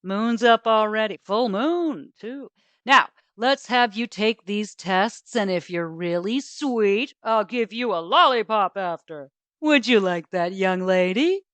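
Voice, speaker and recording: A woman's voice: mid-range voice, slow pace, fairly bright tone, dry recording. A woman voicing a patronizing, flippant male doctor in a storybook, hemming and hawing as she talks. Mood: breezy, condescending. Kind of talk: storybook character voice.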